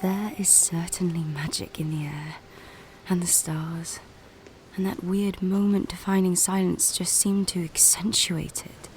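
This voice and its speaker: Audio drama, female: unsettled rationalist, lowish voice, very unhurried breathy muttering. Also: fairly even intonation, darkish tone, rough recording. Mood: unsettled, self-mocking. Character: sceptical woman of science, unsettled by magic. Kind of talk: audio drama inner monologue. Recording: faint noise and ambience.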